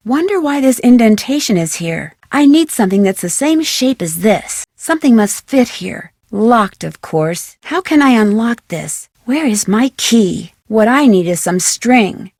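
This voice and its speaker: A woman voicing a bright, inquisitive young American amateur detective in a video game, speaking in a clear, articulate, friendly way. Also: on the high side, dry recording, studio quality, close to an everyday voice. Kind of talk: video game voice acting, scripted narration.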